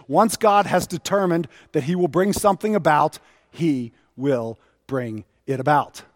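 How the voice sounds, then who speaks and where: A man's voice: on the high side, studio quality. Man in his fifties preaching, reverberant hall. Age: 50s. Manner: emphatic pauses and repetition for weight. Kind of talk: sermon to a congregation.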